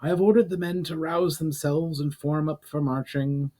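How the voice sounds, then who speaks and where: A dutiful female army officer, as a male reader puts her on in a fantasy storybook. She is crisp and skeptical, questioning her superior, and pitched higher than the narration. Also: fairly even intonation, normal speed, darkish tone, close to an everyday voice. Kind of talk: dramatic fantasy story reading.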